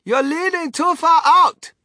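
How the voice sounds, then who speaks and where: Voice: sits high, very animated, moderate pace, sharp tone, dry recording, studio quality, voice pushed up, heavily acted. A reader voicing a grumbling, unhelpful father in a children's storybook. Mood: warning. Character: Mister Gloop, grumbling unhelpful storybook father, adult man.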